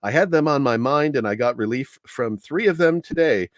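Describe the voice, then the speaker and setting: A man with a mid-pitched voice gives an informal history talk. He explains things in a measured, slightly halting way, setting out anecdotes like a commentator. The sound is close and dry, with hardly any room in it. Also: expressive, dry recording.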